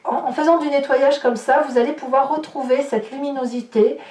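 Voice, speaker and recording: A woman's voice: high-pitched, fairly even intonation, a little fast, a little mellow. A woman hosting an informal tarot reading, addressing viewers and thinking aloud in chatty, hesitant asides. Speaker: tarot reader, channel host. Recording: distant mic, roomy echo, noticeable hiss.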